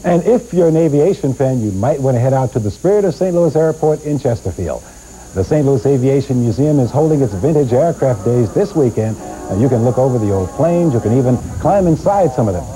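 A man's voice: mid-range voice, lively intonation, fairly quick, warm tone, low-quality recording. A man with an American accent reading scripted local news as an anchor, on a hissy nineties VHS recording.

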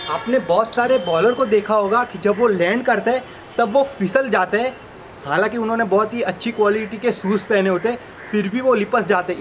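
A man, explaining technique step by step to camera as a sports coach, demonstrating as he talks. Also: high-pitched. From India. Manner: demonstrating with gestures while talking, addressing viewers directly. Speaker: cricket bowling coach and video host. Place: open rooftop terrace outdoors in a city. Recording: outdoor ambient noise.

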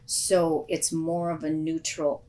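An older woman presenting items on a shopping show, speaking softly and hesitantly with many ums, on a studio set with a lapel mic. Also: low-pitched, level tone, slow pace, slight background noise, low-quality recording. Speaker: shop presenter. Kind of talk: casual product chat.

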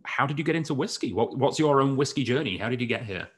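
A man's voice: medium pitch, animated, fast, fairly bright tone, husky. Jokey male whisky show host. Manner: jokey, chatty, laughs easily. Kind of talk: casual interview chat. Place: indoors, home room with framed pictures. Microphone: studio microphone on desk.

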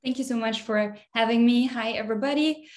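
A woman's voice: a little high, fairly quick. Young woman from austria, physicist guest on a video call, earnest, explains things. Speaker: physicist and consultant.

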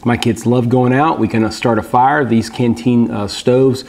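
A man's voice: medium pitch, normal speed. Brief: 30s man, easygoing gear reviewer, plain mid voice, small room. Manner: relaxed, explanatory, frequent fillers. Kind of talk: casual gear review vlog. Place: small indoor room at a table.

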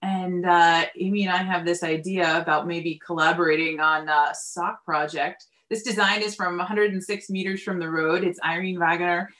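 A woman's voice: lowish voice, steady tone, normal speed, few pauses, a bit bright. A woman in her forties telling stories in a casual video chat as a farm-owner guest. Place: indoors in a yarn shop lined with wool shelves. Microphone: computer mic on a video call.

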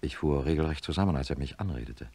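A man playing a sober middle-aged neurosurgeon, narrating a radio drama in a measured, matter-of-fact way. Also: very deep voice, expressive, dark tone, slightly rough, exaggerated voice. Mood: shaken. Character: sober male neurosurgeon professor recounting his experiment.

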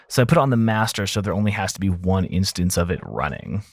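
A man talking informally and thinking aloud as an audio engineer in a software tutorial voice-over. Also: sits low, very animated, fast talker, breathy edge, no room sound, clean studio sound.

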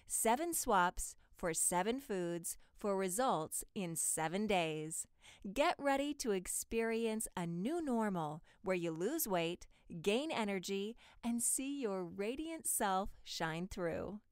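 Smooth, unhurried woman voice-over. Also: mid-range voice, animated, no echo, studio quality. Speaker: voice-over artist. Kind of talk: scripted promotional voice-over for health and diet ads.

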